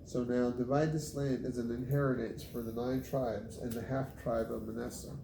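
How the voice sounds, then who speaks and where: A man reading scripture aloud as a Bible reader, haltingly, stumbling over unfamiliar names. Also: mid-range voice, flat delivery. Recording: echoey room with clear background noise.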